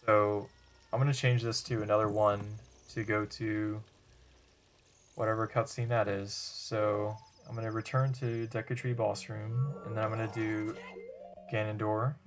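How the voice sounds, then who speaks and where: Low, flat-toned man explaining step by step. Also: measured pace. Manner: matter-of-fact, step-by-step. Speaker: video presenter demonstrating a game glitch. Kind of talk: explanatory tutorial narration over gameplay.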